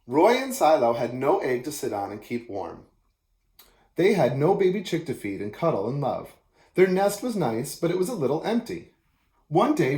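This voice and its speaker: A man reading a children's picture book aloud as an expressive storyteller, in an echoey room. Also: a little high.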